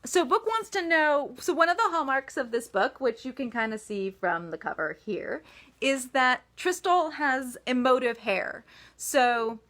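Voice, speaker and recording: A woman's voice: sits high, animated, a little slow, a bit bright. A woman author answering reader questions in a casual, chatty livestream style with emphatic asides.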